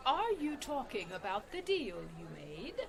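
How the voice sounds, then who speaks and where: A woman's voice: high voice, wildly swooping pitch, measured pace, fairly bright tone, full character voice. A woman playing a sweet, prim and naive cleric in a comedy radio play. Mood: polite concern.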